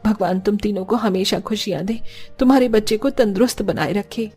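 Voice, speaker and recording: A woman's voice: mid-range voice, fairly quick, darkish tone, husky, soft background music, close to an everyday voice. A woman playing a devout, protective elderly mother-in-law in a cartoon family story, speaking earnestly and soothingly. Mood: joyful, devout.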